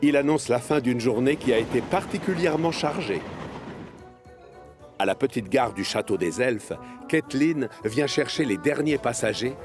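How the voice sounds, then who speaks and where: Male narrator for kids' animated story: mid-range voice, scripted storytelling, music underneath. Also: mid-range voice, normal speed. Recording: music behind the voice.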